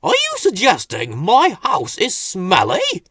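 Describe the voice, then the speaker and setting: A man's voice: sits high, huge pitch swings, takes it slowly, a bit bright, husky, dry recording, studio quality, pitched above the performer's own voice, heavily acted. A man voicing a pompous, easily offended old male tortoise with a blustering, indignant manner in a children's story. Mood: angry.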